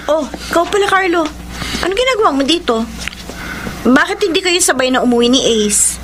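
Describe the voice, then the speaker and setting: A woman's voice: very high-pitched, expressive, fairly quick, noisy background. An actress playing a lovelorn young woman in a Filipino radio drama, earnest and emotive, with a Filipino accent. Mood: guarded. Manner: earnest, emotive delivery, mixing Tagalog and English. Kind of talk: Filipino radio drama acting and letter narration. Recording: radio drama with music underneath.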